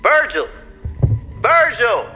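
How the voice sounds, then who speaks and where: An actor playing an excitable, playful young-spirited male astronaut in an audio drama, with an eager manner. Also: mid-range voice, drawn-out words, faint music behind, exaggerated voice. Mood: concerned. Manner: eager, exclamatory. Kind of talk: animated audio drama acting.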